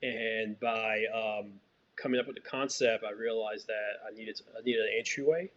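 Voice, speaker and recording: A man who grew up in Haiti answers an interviewer's questions about his work. He talks in an unhurried, meandering way, circling back with fillers and restarts. The sound is somewhat dull and muffled. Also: fairly low pitch. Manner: relaxed, rambling with many fillers and restarts. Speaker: filmmaker guest.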